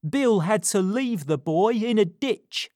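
Woman doing a blunt rough thief. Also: medium pitch, lively intonation, slow, a little mellow, dry recording, clean studio sound, pitched above the performer's own voice. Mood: grim.

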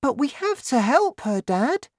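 A woman's voice: high-pitched, sing-song, a little slow, dry recording, studio quality, pitched above the performer's own voice, full character voice. A woman voicing an eager, considerate young boy in a children's storybook, speaking earnestly. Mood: concerned.

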